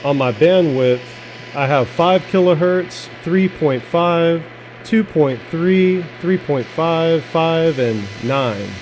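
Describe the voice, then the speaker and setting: A man's voice: on the high side, slow pace, a little mellow, a little hiss or hum, rough recording. A man giving a casual, plain-spoken, rambling product demonstration as a hobbyist reviewer.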